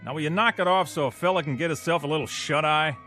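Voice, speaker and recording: An actor playing a grumpy, joking soldier who complains about lost sleep. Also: male voice, on the high side, fast, sharp tone, soft background music. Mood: irritable. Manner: grumbling, joking. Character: grumpy wisecracking soldier, crude and irritable.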